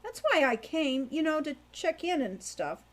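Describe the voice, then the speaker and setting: A woman's voice: high-pitched, very animated, unhurried, voice pushed up. A woman voicing a plucky, sly young girl in an audiobook, breezy and cheeky. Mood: carefully casual. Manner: breezy, carefully casual, cheeky.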